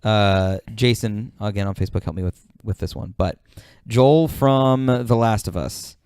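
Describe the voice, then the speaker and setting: A man's voice: lowish voice, measured pace, darkish tone, smooth, no room sound, studio quality. A man hosting a casual podcast, talking in a laid-back, slangy way with some swearing. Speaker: podcast host reading out listener suggestions.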